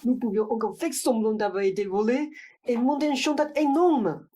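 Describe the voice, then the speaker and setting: A woman's voice: fairly high pitch, normal speed, mellow, a little hiss or hum, natural voice. A young woman playing a matter-of-fact, scheming male accomplice in a student radio play. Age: young adult. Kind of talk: theatrical radio-play acting.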